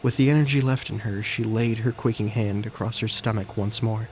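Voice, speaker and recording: Male narrator, first-person thriller drama, lowish voice, measured dramatic phrases, slight background noise. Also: fairly even intonation, darkish tone, rough recording. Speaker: narrator and character of an audio story. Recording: faint background noise and ambience.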